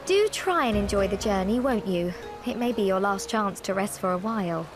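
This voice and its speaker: A woman's voice: mid-range voice, expressive, moderate pace, music in the background, natural voice. A woman voicing a chipper, businesslike female organiser in a video game cutscene. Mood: cheerful, brisk.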